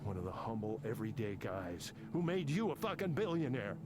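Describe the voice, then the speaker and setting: A man's voice: medium pitch, big pitch swings, fairly quick, darkish tone, dry recording. An actor playing an angry, self-important tech programmer in his fifties for a video game, ranting with sarcasm and swearing. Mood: bitter, exasperated. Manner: combative, sarcastic, profane ranting. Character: angry, embittered, self-important fifty-something male tech company programmer. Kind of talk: naturalistic video game voice acting.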